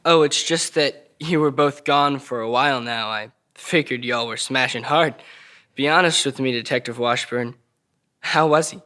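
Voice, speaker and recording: Guy doing a mouthy teen delinquent, sharp bright voice, sarcastic n sweary, crime drama table read. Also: medium pitch, normal speed, close to an everyday voice. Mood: mocking, cheeky. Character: defiant, foul-mouthed teenage boy accused of murder.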